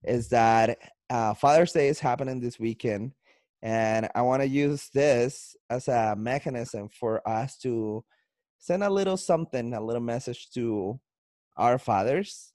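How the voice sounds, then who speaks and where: A man's voice: medium pitch, a little slow, a little mellow, dry recording, clean studio sound. Casual man with a Colombian accent. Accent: Colombian Spanish. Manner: loose and chatty, with frequent restarts and laughs. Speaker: podcast host. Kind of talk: casual podcast chat.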